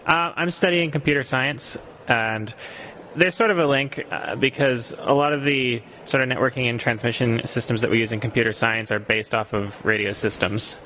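A man's voice: medium pitch, steady tone, normal speed, pushed and bright, slight background noise. A young man from Costa Rica, an exchange student answering radio interview questions thoughtfully, with frequent ums and restarts. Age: young adult.

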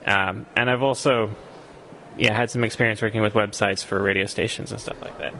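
A man's voice: lowish voice, fairly even intonation, a little fast, pushed and bright, a little hiss or hum, low-quality recording. A young man from Costa Rica, an exchange student answering radio interview questions thoughtfully, with frequent ums and restarts. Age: young adult.

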